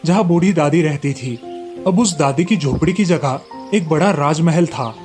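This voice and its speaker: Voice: medium pitch, normal speed, darkish tone, faint music behind. A narrator reading a scripted moral story in storybook style.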